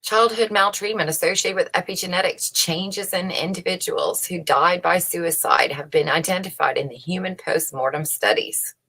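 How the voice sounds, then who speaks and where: A woman's voice: lowish voice, bright, forceful tone. A woman giving casual commentary on research she reads out, opinionated and emphatic, often putting rhetorical questions to the listener. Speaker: host reading and commenting on research papers.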